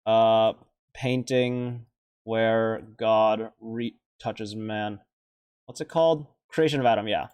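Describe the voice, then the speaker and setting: A man's voice: on the low side, fairly even intonation, slow, darkish tone, clean tone, no echo, lo-fi sound. Casual, rambling man, dry close sound. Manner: rambling, hesitant, thinking aloud. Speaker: reaction video host, vtuber. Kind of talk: casual off-the-cuff reaction commentary.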